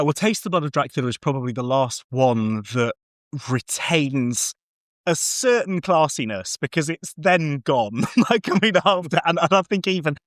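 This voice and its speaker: A man's voice: medium pitch, fairly bright tone, no echo. Male film podcaster, mid-range voice, casual, enthusiastic and sweary delivery, close dry sound. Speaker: podcast co-host. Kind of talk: casual film discussion chat.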